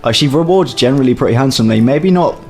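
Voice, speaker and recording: Game master man, animated voice, narrating scenes. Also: mid-range voice, fast. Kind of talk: running a tabletop game.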